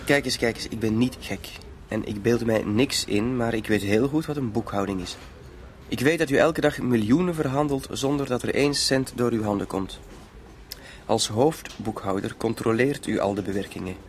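A man's voice: mid-range voice, normal speed, a little hiss or hum, lightly acted. A man playing a self-assured blackmailer in a radio drama, with a mock-polite, insinuating way of talking. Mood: cool, threatening.

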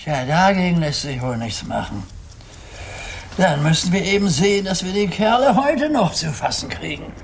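A man's voice: high-pitched, animated, a little hiss or hum. An actor playing an old grandfather who acts as a ship's captain, humouring and nautical, in a German children's radio play. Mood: thoughtful, humouring. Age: older. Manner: unhurried, humouring, mock-nautical.

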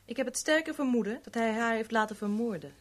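Actress as husky, guarded young woman. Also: a little high, a little fast, close to an everyday voice. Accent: Netherlands Dutch. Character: wealthy man's stepdaughter, guarded, troubled, quietly determined young woman. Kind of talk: 1970s Dutch radio play acting.

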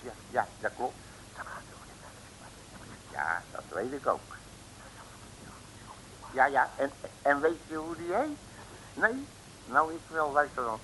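Slangy radio detective, cocky, bossy, highish animated voice, vintage hissy recording. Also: male voice, slow, long pauses, exaggerated voice, low-quality recording. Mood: knowing. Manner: slangy, blustering, addresses people as "broer". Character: brash, street-smart male detective, bossy and boastful. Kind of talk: 1950s Dutch radio serial acting.

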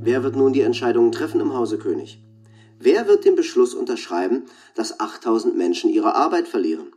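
Man playing pompous tabloid columnist, medium-pitched voice, rhetorical, sensational scripted report, radio drama. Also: very animated, fairly quick, mellow, faint music behind, lightly acted. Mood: pompous, insinuating.

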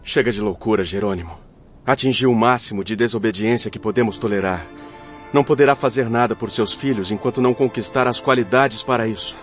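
An actor playing a stern but compassionate guardian spirit in a radio drama, speaking formally and firmly. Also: male voice, fairly low pitch, a little fast, natural voice. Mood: stern, admonishing. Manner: firm, admonishing, formal. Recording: dull lo-fi audio under music.

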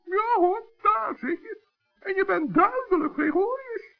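A man voicing a small, earnest forest gnome in a 1960s children's radio play, squeaky, creaky and patiently hesitant. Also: expressive, a little slow, full character voice. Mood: earnest, concerned. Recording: dull old radio recording with music.

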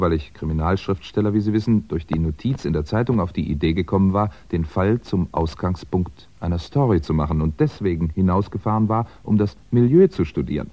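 An actor playing a wry, articulate crime writer who speaks in a measured, precise way in a 1960s German radio play. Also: male voice, sits low, warm tone. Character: wry, articulate male crime writer narrating his own predicament. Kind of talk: 1960s German radio drama, first-person narration. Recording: muffled 1960s radio recording.

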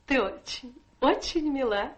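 A woman's voice: high-pitched, animated, a little slow. Coquettish, self-assured young society lady. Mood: gushing, patronising. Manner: coquettish, mocking, affected. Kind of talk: stage-trained radio play acting.

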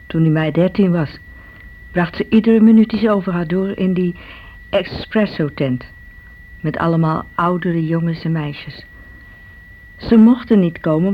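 An actress playing a blunt, scornful elder sister in a Dutch radio drama, speaking with dry, matter-of-fact disapproval. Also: female voice, lowish voice, natural voice. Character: scornful, blunt elder sister judging her wayward sibling. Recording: muffled, noisy old radio recording.

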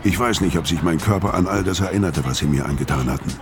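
Man acting weary older ex-convict, low deep voice, brooding measured narration, radio crime drama, music underneath. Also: close to an everyday voice. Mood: bleak, brooding. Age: 50s. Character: weary, haunted older male ex-convict telling his story.

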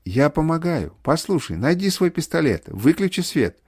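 Мужчина озвучивает отчаянную дочь, которая резкими, срочными приказами командует семьёй в аудиорассказе. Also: male voice, on the high side, big pitch swings, moderate pace, a little mellow, slightly rough, dry recording, clean studio sound, heavily acted. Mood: urgent commanding. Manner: urgent, clipped orders. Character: commanding, desperate daughter rallying her family.

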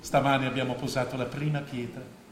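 Actor as a pompous scheming financier, echoey stage. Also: male voice, mid-range voice, little pitch movement, a little fast, lightly acted. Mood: grandiose. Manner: theatrical, pompous, oratorical. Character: scheming middle-aged stepfather, a grandiose financier, evasive and sarcastic. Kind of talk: staged radio drama acting in Italian. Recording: echoey stage recording with faint noise.

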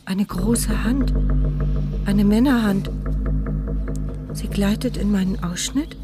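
A woman's voice: medium pitch, slow, loud music behind the voice, natural voice. An actress playing an anxious, wry paralysed woman who narrates her inner thoughts in a radio crime drama. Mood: frightened. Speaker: first-person narrator. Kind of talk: German radio crime drama, interior monologue.

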